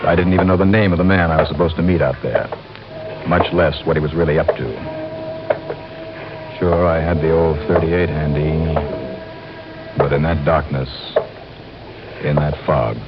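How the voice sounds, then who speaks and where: An actor playing a wry, hard-boiled insurance investigator in 1950s radio detective style, sardonic and clipped. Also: male voice, rumbling low, fairly even intonation, moderate pace, pauses often. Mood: wry narration. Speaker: lead actor and narrator. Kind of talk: 1950s radio detective acting, first-person narration. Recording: muffled old radio broadcast, music behind.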